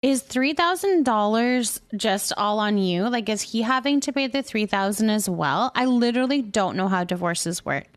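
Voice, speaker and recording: High-voiced woman chatting casually on livestream. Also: animated, normal speed, few pauses, dry recording, studio quality. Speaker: livestream host. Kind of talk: casual livestream chat, greeting viewers and reading comments aloud.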